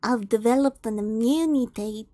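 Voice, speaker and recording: A woman's voice: on the high side, moderate pace, dark tone, no room sound, clean studio sound, close to an everyday voice. Smug taunting ogre, as a woman reads him.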